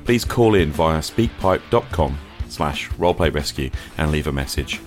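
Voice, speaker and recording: A man's voice: a bass voice, expressive, moderate pace, music in the background. A man hosting a podcast, asking short questions and closing the show with brief, encouraging words. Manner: brief, encouraging listener backchannel. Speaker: podcast host. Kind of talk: casual interview and show outro.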